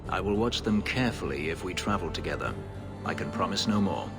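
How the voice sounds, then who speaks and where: Brief: a brooding elven ex-slave warrior, very low and grave, formal and clipped, with game music underneath. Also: male voice, expressive, a little fast. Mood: bitter. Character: brooding male elf warrior, escaped slave. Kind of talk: video game dialogue. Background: game score.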